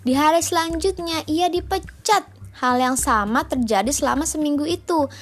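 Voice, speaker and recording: Female storyteller, Indonesian accent, high voice, expressive motherly bedtime reading over soft music. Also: moderate pace. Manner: expressive, informal asides to child. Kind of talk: children's storybook narration.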